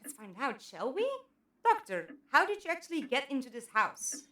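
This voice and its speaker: A woman playing a composed, cautious leader of captives, measured and authoritative, in an audio drama. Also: high-pitched, sing-song, fairly bright tone. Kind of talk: fan audio drama acting.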